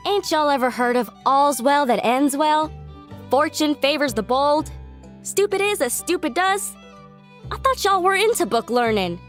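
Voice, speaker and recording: A woman voicing a brash, excitable young country gal with a folksy Southern US accent in a cartoon. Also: high voice, unhurried, faint music behind. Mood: cocky.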